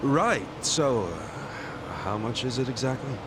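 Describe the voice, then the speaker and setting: A man voicing a brash, warm-hearted, impulsive and loyal ex-yakuza in a video game dub. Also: medium pitch, big pitch swings, slow pace, background noise. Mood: curious. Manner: informal, blunt, eager.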